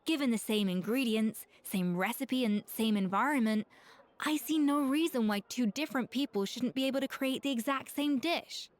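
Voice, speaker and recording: An actress playing a proud, exacting master chef with high standards in a video game. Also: female voice, normal speed, lightly acted. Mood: earnest, firm. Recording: background music behind the voice.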